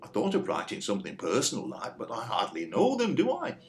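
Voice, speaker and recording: Well-meaning mechanic boyfriend, tentative, reassuring, very varied intonation, roomy audio drama. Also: male voice, medium pitch, wildly swooping pitch, brisk. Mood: earnest, unsure. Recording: roomy echo, faint background noise.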